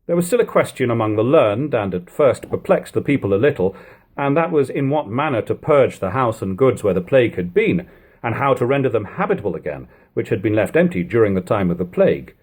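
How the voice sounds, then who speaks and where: A man reading an old literary text aloud as an audiobook narrator, with expressive, emphatic phrasing and deliberate pauses. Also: medium pitch, breathy edge. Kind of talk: dramatic audiobook reading of 18th-century prose.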